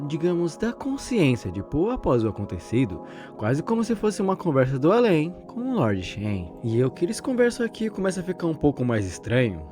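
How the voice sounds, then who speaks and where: Young male Brazilian video essayist: expressive pitch movement, wordy conversational storytelling, clear music bed underneath. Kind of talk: conversational scripted commentary. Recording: background music under the narration.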